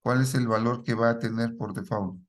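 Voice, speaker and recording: A man leads a live programming lesson at an easy, mid-range pitch. He questions his students and echoes back what they say. Each point ends with a quick check that the class is following. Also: fairly even intonation. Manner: asks the class questions and repeats their answers, ends lines with a checking "¿sí?". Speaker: programming teacher.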